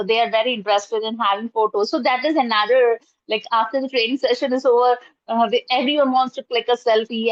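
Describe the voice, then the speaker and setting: A woman's voice: on the high side, no room sound. Middle-aged Indian woman on a dull video call. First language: Urdu. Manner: earnest, many fillers and restarts. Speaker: guest, college professor and trainer. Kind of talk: casual interview answers. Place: indoors at home, curtain behind.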